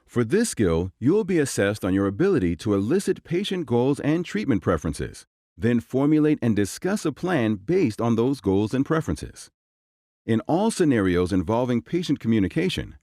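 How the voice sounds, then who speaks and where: A man's voice: mid-range voice, mellow, dry recording, studio quality. A man reading scripted instructional narration. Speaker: narrator of exam explainer.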